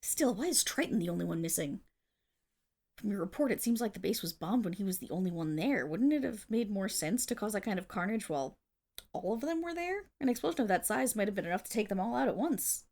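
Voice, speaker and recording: A woman's voice: mid-range voice, lively intonation, fast talker, darkish tone. A woman playing a theatrical but bashful, lovestruck female supervillain in an ASMR roleplay audio drama. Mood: curious, frustrated. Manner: theatrical villain declaiming that flips into flustered, affectionate chatter.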